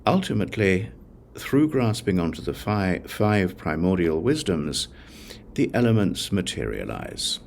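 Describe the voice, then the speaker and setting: Male narrator for sacred-text audiobook: low, gravelly, measured reading with varied intonation, faint background noise. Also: very animated, a little mellow.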